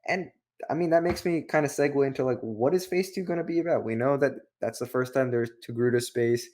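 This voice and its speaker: A man talks as a review show co-host in a casual, opinionated style. He rambles from thought to thought and keeps posing rhetorical questions. His pitch sits in the middle range for a male voice. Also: a little fast, a little mellow.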